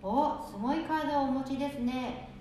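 Echoey, high-voiced delivery worker played by a woman. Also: a little fast, dark tone, lightly acted. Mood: polite. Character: polite, efficient delivery worker. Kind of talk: amateur radio drama acting. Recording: very echoey room, faint noise.

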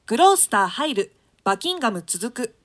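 Brief: 女性の朗読者、張りのある明るい声、ト書きを淡々と. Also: female voice, a little high, sing-song, a bit bright. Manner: plain, measured reading of old-fashioned text. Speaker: solo reader of a translated play. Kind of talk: reading stage directions aloud.

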